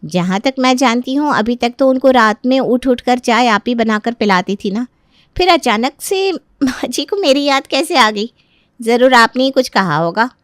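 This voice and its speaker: High-pitched, defiant young daughter-in-law, played by a woman. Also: lively intonation, moderate pace, dry recording, studio quality, close to an everyday voice. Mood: suspicious. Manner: argumentative, protesting. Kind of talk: dramatized Hindi moral story.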